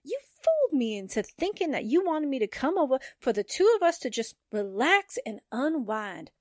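An actress playing a perky young secretary who complains melodramatically, in 1940s radio drama style. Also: female voice, high-pitched, very animated, normal speed, darkish tone, no room sound, clean studio sound. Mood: exasperated, playful. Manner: melodramatic good-natured complaining.

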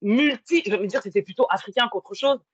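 A woman from France with a husky voice and medium pitch. She speaks casually and reflectively, often hesitating and rephrasing to explain herself. The sound is dry and slightly dull. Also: a little fast, dry recording. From born and grew up in France.

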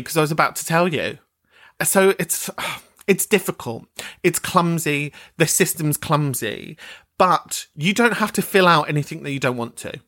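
A man's voice: on the high side, a bit bright, no echo, studio quality. Chatty man hosting a podcast. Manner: chatty, swears freely, frank and supportive. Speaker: podcast host. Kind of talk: casual chat.

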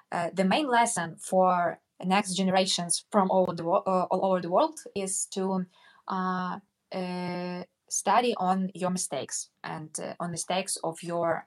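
Young female interview guest, Ukrainian accent, slightly low pitch, halting non-native delivery. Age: young adult. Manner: halting, searching for words. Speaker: interview guest, media founder. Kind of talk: interview answers in a second language.

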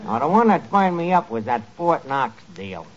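Wisecracking New tavern manager, scheming and mock-formal, very lively intonation, noisy vintage radio comedy. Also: male voice, on the high side, moderate pace. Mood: dry, grumbling. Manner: dry, mock-formal with mangled grammar. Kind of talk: 1940s radio comedy acting. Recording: muffled, noisy 1940s radio recording.

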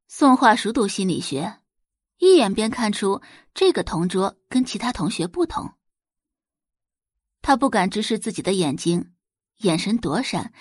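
A woman's voice: mid-range voice, breathy edge, no echo, studio quality. A woman reading a scripted story expressively as an audiobook narrator.

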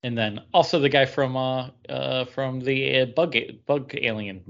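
A man's voice: medium pitch, fairly even intonation, a little slow, creaky, dull audio. A man co-hosting a podcast, talking casually with friends. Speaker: podcast co-host. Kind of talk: casual chat, reading out a list.

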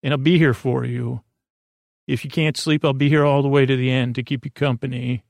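A man with a creaky voice hosting a bedtime podcast, rambling in a halting, soothing way full of tangents and trailing thoughts. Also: medium pitch, level tone, no room sound, studio quality. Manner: soothing, lulling. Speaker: podcast host.

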